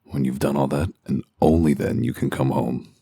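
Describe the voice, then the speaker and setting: Man acting a gruff, gravel-voiced, extremely deep tough guy. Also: steady tone, normal speed, dark tone, no echo, exaggerated voice. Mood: curt, weary. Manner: dry, sarcastic, commanding. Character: gruff, sardonic, tough werewolf detective. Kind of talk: comic dub acting.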